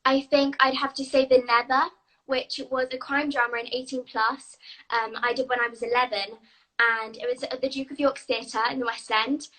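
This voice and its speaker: A woman's voice: high-pitched, flat delivery, normal speed, pushed and bright, rough recording. A young British girl actress, bubbly and eager, answering questions in a live video interview. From England. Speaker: guest actress.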